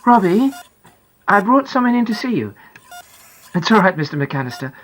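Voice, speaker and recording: A woman reader plays a mum in an audiobook. She has a slightly husky voice and a gentle, reassuring way of speaking. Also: mid-range voice, very animated, moderate pace. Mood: gently encouraging. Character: reassuring mother of an injured child. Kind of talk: audiobook character acting.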